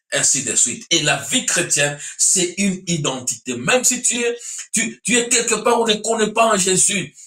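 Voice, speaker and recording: A man's voice: on the high side, sharp tone, reverberant. A man, preaching and teaching emphatically, often repeating his phrases. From Central Africa. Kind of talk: sermon-like teaching in a religious discussion.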